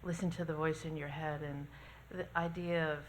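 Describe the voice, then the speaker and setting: This is a woman speaking from the audience, working out her question in a searching, hesitant way. Her voice is low and stays very level, with a faint room hum behind it. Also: little pitch movement, normal speed, poor audio. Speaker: audience member.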